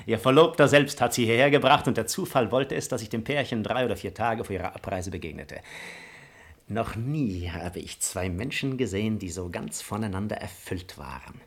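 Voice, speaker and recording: Arrogant, theatrical seducer nobleman. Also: fairly low pitch. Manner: theatrical, self-assured, declamatory. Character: arrogant, seductive nobleman libertine, eloquent master. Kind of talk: classical German radio play acting.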